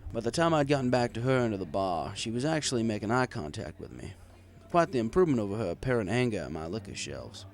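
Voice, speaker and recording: A man's voice: mid-range voice, darkish tone. A man reading a story aloud in the first person as a bartender narrator. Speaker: audiobook reader. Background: music.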